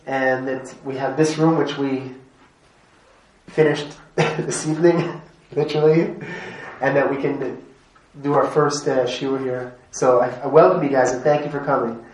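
A man's voice: medium pitch, steady tone, measured pace, darkish tone. A man from Montreal teaching an informal class, chatty and quizzing his students. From Montreal, Canada. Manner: chatty, asks the group questions, laughs often. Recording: echoey room, mic at a distance, faint noise.

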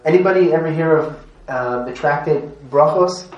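A man's voice: on the high side, normal speed, dull audio. Chatty man teaching in an echoey room. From Montreal, Canada. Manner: chatty, asks the group questions, laughs often. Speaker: rabbi teaching a class. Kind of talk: informal classroom lesson. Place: classroom. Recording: echoey room, mic at a distance, faint noise.

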